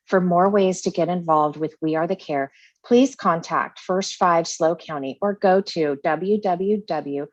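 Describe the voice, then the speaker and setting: A woman giving public comment, reading a prepared statement in a steady, formal way. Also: fairly low pitch, no room sound, highs cut off.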